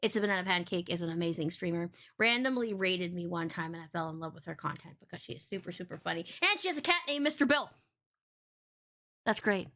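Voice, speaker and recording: A woman's voice: animated, fast, bright, forceful tone. Bubbly rambling woman podcast host. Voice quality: big boomy voice. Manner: bubbly, swears freely, rambling. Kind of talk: casual livestream podcast chat.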